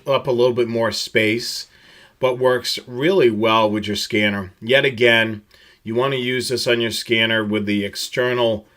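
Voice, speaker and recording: Bright, forceful-voiced man presenting a tutorial. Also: fairly low pitch. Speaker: presenter of a live product tutorial. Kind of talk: semi-scripted slide presentation, explanatory product rundown.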